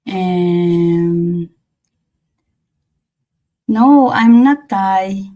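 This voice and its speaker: Slow-talking Filipino woman livestreamer. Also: medium pitch, dark tone. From Philippines. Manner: halting, repeats phrases. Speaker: livestream host. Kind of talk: casual livestream chat and reflection.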